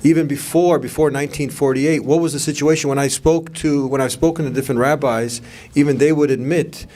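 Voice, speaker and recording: A man's voice: on the high side, fairly even intonation, a little fast, a little mellow, a little hiss or hum. A man hosting an interview session, asking friendly prompting questions. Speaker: interview host. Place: mosque event.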